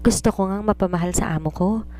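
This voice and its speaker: A woman's voice: mid-range voice, quick pace, warm tone, natural voice. A woman voicing a young housemaid in an audiobook story, naive and curious, who speaks respectfully to her elder. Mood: eager, dreamy. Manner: inquisitive, respectfully addressing an elder. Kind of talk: audiobook character dialogue.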